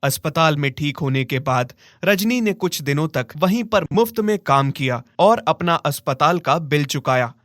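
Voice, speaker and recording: A man's voice: fairly high pitch, lively intonation, normal speed, hardly any pauses, fairly bright tone, no room sound. A man narrating a scripted moral story as a storyteller.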